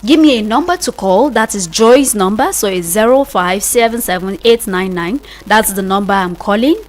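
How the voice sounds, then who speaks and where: A woman's voice: medium pitch, moderate pace. Chatty Nigerian woman hosting live radio. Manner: informal, explains step by step, repeats for emphasis. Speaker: radio host. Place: radio studio.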